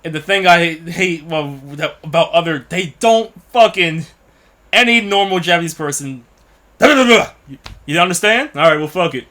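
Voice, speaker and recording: A man's voice: a little high, animated, normal speed, sharp tone. A man co-hosting a podcast in casual chat, blunt and sweary, often mimicking other people as he talks. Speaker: podcast co-host.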